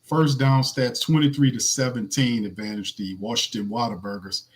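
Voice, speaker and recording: A man with a raspy voice hosting a sports podcast, talking loosely with slang and running through game stats. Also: medium pitch, steady tone, moderate pace. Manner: loose, slangy, restarts a lot, reads stats. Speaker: podcast host. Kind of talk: casual sports podcast talk.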